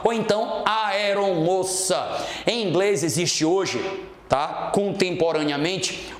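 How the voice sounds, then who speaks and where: A Brazilian man teaching a grammar lesson as an English teacher, explaining didactically and checking that students follow. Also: high-pitched. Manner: didactic, checks understanding often. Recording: roomy, echoing room sound.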